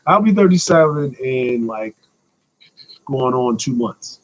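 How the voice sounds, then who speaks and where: A man's voice: medium pitch, animated, slow pace, rough recording. An American man in his thirties chatting casually as a live stream host, answering questions in a blunt, slangy, joking manner with some swearing. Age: 30s.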